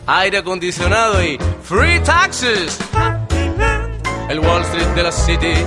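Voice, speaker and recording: Un actor con acento argentino interpreta a un presentador de cabaret fanfarrón y teatral en una obra musical grabada. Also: male voice, sits high. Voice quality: theatrical showman's delivery. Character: smooth boastful male cabaret emcee. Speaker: nightclub host in a radio play song. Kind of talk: recorded musical radio play. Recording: voice under loud music track.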